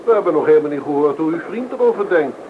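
A man's voice: a little high, expressive, racing, lightly acted. An actor playing a cheeky, sociable advertising man on a train in a radio drama, forward and teasing. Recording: noisy, thin, phone-like radio play recording.

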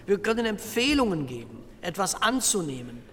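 An actor playing a cautious parliamentary delegate of 1948, arguing in a formal, measured, legalistic manner. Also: male voice, high voice, sing-song, a bit bright. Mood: measured, insistent. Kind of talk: formal debate speech. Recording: long hall reverb, faint noise.

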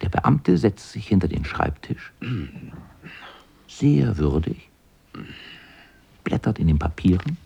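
A man's voice: low voice, very animated, slow, vocal fry, long pauses, warm tone, breathy edge, heavily acted. A man narrating a scripted children's radio play as an observant storyteller.

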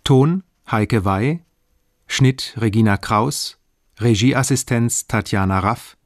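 A man announcing formally as he reads scripted radio credits in a measured, neutral manner. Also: medium pitch, no room sound, clean studio sound.

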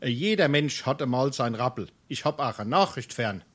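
Mid-pitched man, Darmstadt Hessian dialect, canny blunt townsman, played by an actor, dry needling delivery, stage comedy. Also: lively intonation, moderate pace, natural voice. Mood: teasing, secretive. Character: canny, blunt male townsman, a family friend.

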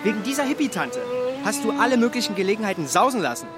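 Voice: mid-range voice, big pitch swings, fast talker, loud music behind the voice, natural voice. An actor playing a sarcastic, needling teenage friend in audio drama dialogue. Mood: mocking.

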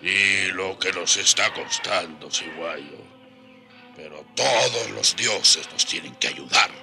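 Proud bitter runaway slave rebel in a radio drama, sharp forceful voice, real slow. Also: male voice, low-pitched, gravelly, soft background music, heavily acted. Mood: resolute, devout. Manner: emphatic, deliberate.

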